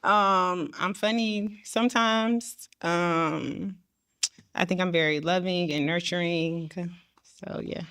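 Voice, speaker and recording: A woman's voice: on the low side, fairly even intonation, slow, a bit bright, clean tone. Bubbly, giggly woman in her thirties. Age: 30s. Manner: giggly, bubbly, a bit nervous. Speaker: dating show contestant. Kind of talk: casual on-camera chat.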